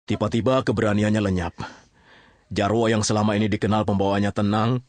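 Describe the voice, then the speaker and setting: A man's voice: on the low side, steady tone, fairly quick, a little mellow, dry recording. A man narrating a radio drama as a colloquial storyteller, chatty, theatrical and teasing.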